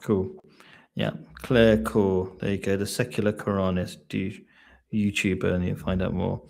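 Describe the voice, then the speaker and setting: A man's voice: deep voice, flat delivery, measured pace, vocal fry, a little mellow, a little hiss or hum. Man hosting a live chat. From England. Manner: questioning, restarts sentences often. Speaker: host. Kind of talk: live online discussion.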